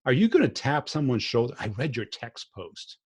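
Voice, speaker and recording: A man's voice: medium pitch, big pitch swings, moderate pace, a little mellow. A man hosting a podcast, talking bluntly and plainly in casual conversation. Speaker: podcast host.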